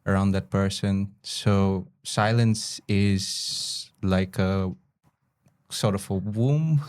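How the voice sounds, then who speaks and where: A man from Bangladesh, speaking as an interview guest in a measured, hesitant, reflective way. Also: deep voice, a little mellow, clear, resonant tone. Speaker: podcast guest, photographer, educator, researcher.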